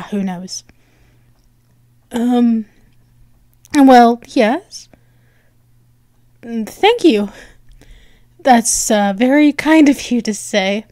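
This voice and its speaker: High-pitched, flustered cat-girl played by a woman. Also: mellow, no room sound, studio quality, full character voice. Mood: shy, affectionate. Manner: halting, stammering, teasing. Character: flustered, bratty but affectionate cat-girl girlfriend. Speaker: audio roleplay performer. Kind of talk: ASMR roleplay.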